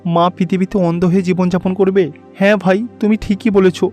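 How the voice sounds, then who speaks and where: A man's voice: sits high, little pitch movement, normal speed, a little mellow, faint music behind, pitched above the performer's own voice, natural voice. A man voicing a devoted young boy god in a cartoon, speaking in an earnest, pleading way. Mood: sad, concerned.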